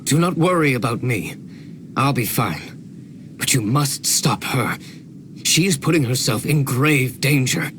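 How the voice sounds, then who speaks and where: An actor playing an earnest, weakened but grateful hunter in a video game, speaking in a measured way. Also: male voice, mid-range voice, expressive, fairly bright tone, slight background noise. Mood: concerned. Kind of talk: scripted game voice acting. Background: music.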